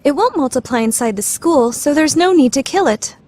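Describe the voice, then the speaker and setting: A woman's voice: high voice, lively intonation, brisk, darkish tone. A woman playing a proud, scheming teenage student council vice president in an anime radio play, formal and theatrical. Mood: scheming, composed. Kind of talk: anime fan dub radio play acting.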